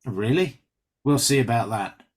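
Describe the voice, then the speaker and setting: Man acting villainous male pilot, callous, mocking, smug, sarcastic, mid-pitched, screenplay read. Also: measured pace, darkish tone, breathy edge. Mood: smug, cold.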